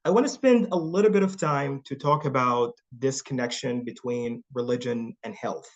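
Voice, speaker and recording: A young man from Saudi Arabia giving a thoughtful talk as a guest speaker on an online panel, pausing often with fillers and repeating words as he works through his points. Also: mid-range voice, muffled recording.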